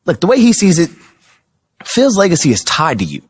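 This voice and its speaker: An actor playing an easygoing, smooth-talking American sports agent in a video game, coaxing in a casual, chatty way. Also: male voice, high-pitched, wildly swooping pitch, brisk, full character voice. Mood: persuasive, easygoing. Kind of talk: naturalistic video game cutscene acting.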